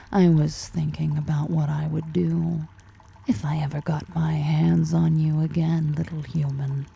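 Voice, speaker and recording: A woman's voice: flat delivery, unhurried, dark tone, full character voice. A woman playing a powerful, predatory drider ruler in an ASMR roleplay, half-whispering with teasing, flirtatious menace. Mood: seductive, teasing. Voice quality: breathy, half-whispered, creaky low voice.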